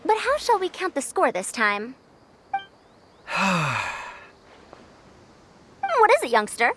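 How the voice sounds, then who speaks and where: A woman's voice: fairly high pitch, huge pitch swings, slow pace, noisy background, exaggerated voice. A woman playing a proud, youthful-bodied scholar with old-fashioned, formal and slightly haughty speech in an anime-style video game. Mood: questioning, bemused. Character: proud elder-minded lady scholar in a youthful body.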